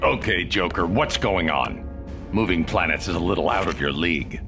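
An actor playing a stern, gruff masked male hero in a video game, with a gravelly voice. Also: low-pitched, animated, moderate pace. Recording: game soundtrack music behind voice.

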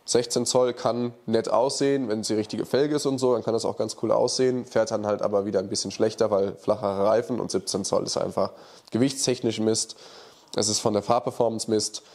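Seated man in his thirties, fighting a cold and a bit nasal; low-pitched, blunt and colloquial, lapel-miked in an office. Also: steady tone, brisk, darkish tone. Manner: blunt, colloquial, frank verdicts. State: has a cold, slightly nasal. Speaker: workshop expert answering viewer questions. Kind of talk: casual Q&A chat. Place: indoor workshop office, seated in armchair. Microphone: lapel mic.

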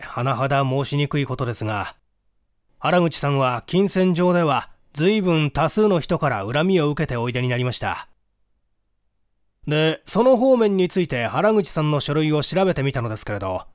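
A man's voice: fairly high pitch, animated, moderate pace, no room sound, natural voice. A man performs a police detective from a mystery audiobook. He lays out his reasoning step by step in formal, polite speech, with very varied intonation. The sound is dry and close. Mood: explanatory, measured. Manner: formal, orderly, explanatory. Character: methodical male police detective explaining his deductions formally. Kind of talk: dramatic audiobook reading.

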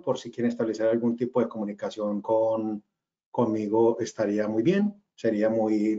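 A man's voice: medium pitch, dark tone. A man in his forties with a Colombian regional accent, giving a webinar presentation over a video call, hesitant, with many fillers and restarts. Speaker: webinar speaker, company cofounder. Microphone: video call webcam. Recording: slightly dull video call audio.

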